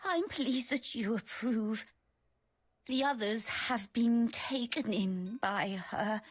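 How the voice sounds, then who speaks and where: An actress playing an ailing, querulous upper-class widow in a video game, languid and haughty. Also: female voice, on the high side, takes it slowly. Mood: querulous, disdainful.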